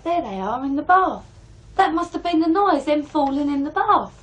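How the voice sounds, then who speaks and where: Young daughter, excitable, radio play, high voice, very animated pitch, noisy ambience. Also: female voice, moderate pace. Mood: casual. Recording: clear background noise and ambience.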